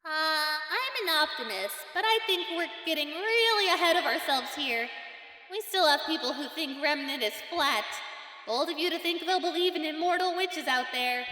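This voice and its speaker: A woman plays a snarky, nervous teenage cartoon heroine with a very high, bright voice. She rambles in sarcastic, slangy asides, in a reverberant space over faint music. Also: normal speed. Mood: snarky, skeptical. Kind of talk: comic parody voice-over.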